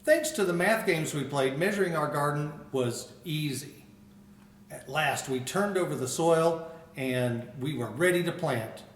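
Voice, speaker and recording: An older man, a school principal, reading a picture book aloud with expressive storytelling. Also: a little high, unhurried, recorded from a distance. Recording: roomy echo.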